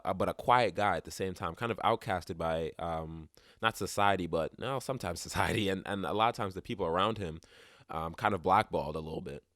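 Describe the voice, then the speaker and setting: A young man with a low, congested voice talks through his solo podcast in a loose, rambling way, starting over and circling back mid-thought. He sounds a bit sniffly from a cold. The sound is dry and close to the mic. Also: fairly bright tone, dry recording. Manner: rambling, many restarts and fillers. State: stuffed up, sniffly. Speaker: podcast host. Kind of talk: casual solo podcast talk.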